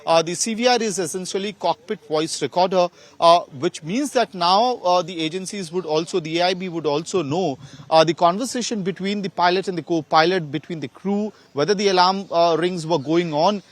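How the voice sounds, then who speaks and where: A man with an Indian accent giving a live, unscripted field report, halting often with filled pauses. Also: sits high. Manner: halting, hesitant delivery with frequent filled pauses.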